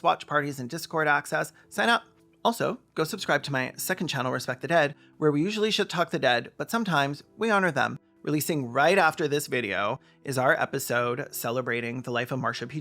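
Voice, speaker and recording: A man's voice: medium pitch, fairly bright tone. A man narrating a scripted video essay with casual asides, in an emphatic, opinionated way. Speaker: video essayist and podcast host.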